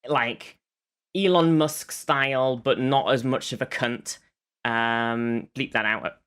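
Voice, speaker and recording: A podcast host with a mid-pitched voice that is bright and cleanly resonant. The delivery is casual, like talk between friends, with a hesitant search for the right word as the recap goes on. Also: takes it slowly, smooth. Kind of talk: casual chat recapping a TV show.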